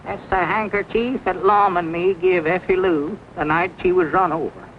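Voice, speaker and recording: An actor playing an excitable, simple-hearted rural sidekick with a Southern accent and folksy talk in a 1940s film. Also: male voice, sits high, normal speed, lightly acted. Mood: eager, agreeable. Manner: folksy exclamations, rustic grammar. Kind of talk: 1940s film comedy acting. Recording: muffled, noisy 1940s film soundtrack.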